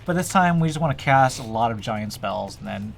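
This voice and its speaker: A man playing in a casual card game stream, relaxed, laughing often and swearing casually. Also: mid-range voice, fairly quick, creaky, a little hiss or hum. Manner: laid-back, laughs a lot, swears casually. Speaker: player on the stream.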